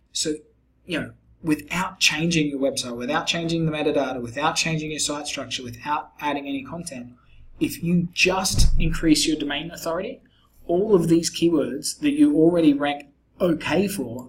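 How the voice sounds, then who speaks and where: Croaky-voiced man walking through a pitch. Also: a little hiss or hum, poor audio. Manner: conversational, explanatory, frequent fillers and restarts. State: croaky, hoarse voice. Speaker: SEO agency founder pitching to a client. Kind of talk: screen-recorded website review and sales pitch.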